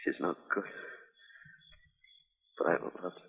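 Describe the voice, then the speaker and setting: A man playing a wounded, weary, bitter outlaw in an old radio western, weak and raspy as he speaks. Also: takes it slowly, very noisy, natural voice. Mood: pained, resigned. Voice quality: raspy, gravelly. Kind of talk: 1950s radio western acting. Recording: old radio recording, narrow band, reverberant.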